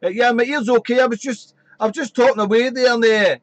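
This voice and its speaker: A man chatting on amateur radio from his radio shack, rambling and friendly, his voice slightly croaky. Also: extremely high pitch, steady tone, quick pace, no echo. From Wales. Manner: chatty, rambling, fills with "there". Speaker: ham radio operator. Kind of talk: casual radio chat.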